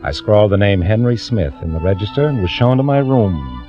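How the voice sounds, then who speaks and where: An actor playing a quietly scheming impostor in a 1940s radio drama, measured and confiding in his delivery. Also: fairly low pitch, sing-song. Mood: calm, calculating. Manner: measured, confiding first-person narration. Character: quietly scheming male clerk turned impostor, narrating his plot. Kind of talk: 1940s radio acting, scripted narration. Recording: muffled old radio, music behind.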